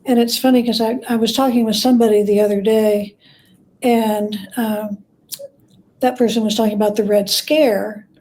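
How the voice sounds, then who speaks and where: Higher-voiced woman with a mellow tone. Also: fairly even intonation, normal speed. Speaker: guest author, biographer. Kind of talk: conversational interview.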